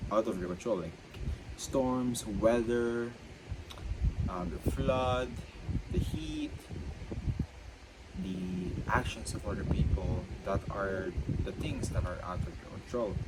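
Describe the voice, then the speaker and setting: A young man, a student, talking unscripted about self-control, with a stutter that makes him halt and repeat himself. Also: lowish voice. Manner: stutters, halting and repetitive. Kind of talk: unscripted reflective monologue. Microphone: own mic. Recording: loud background noise.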